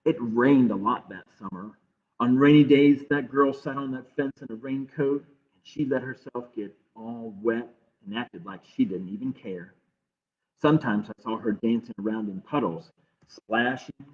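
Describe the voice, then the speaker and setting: A man reading a picture book aloud to children with measured, expressive pauses. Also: medium pitch. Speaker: library storytime reader. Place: livestream from a closed-library online program. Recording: muffled phone-like streaming audio.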